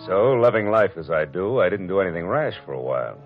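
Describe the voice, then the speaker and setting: A low-voiced, hard-boiled private eye, tough and wry in a 1940s radio crime drama. The recording is dull and old, with faint music behind. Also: male voice, sing-song, quick pace. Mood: wry narration. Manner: wisecracking, tough. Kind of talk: 1940s radio acting, first-person noir narration.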